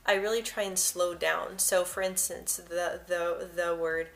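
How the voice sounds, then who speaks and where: A woman's voice: on the low side, steady tone, unhurried, a bit bright. A young woman vlogger who stutters, often repeating words and first sounds as she talks to camera and shares personal tips.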